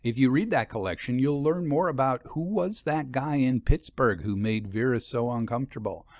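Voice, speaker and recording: A male storyteller addressing listeners in a chatty, scripted style. His medium-pitched voice moves around expressively, and the recording is very dry and free of room sound. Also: lively intonation, moderate pace, a little mellow, no room sound. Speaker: storyteller, host and author. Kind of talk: scripted narration and conversational outro.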